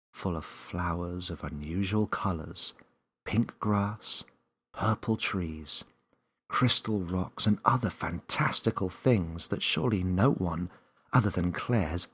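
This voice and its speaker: A male storyteller with a low voice and a husky grain. He narrates a children's story from a script at a slow, measured pace. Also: fairly bright tone.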